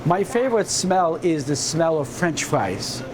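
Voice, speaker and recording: A man from Turkey with a Turkish accent, a hospitality dean answering quick interview questions earnestly and often repeating words as he searches for phrasing. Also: a little high, very animated, background noise.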